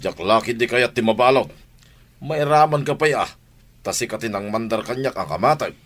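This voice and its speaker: A man's voice: medium pitch, expressive, moderate pace, close to an everyday voice. A man acting a fearful, reluctant household servant in a radio drama, sounding worried.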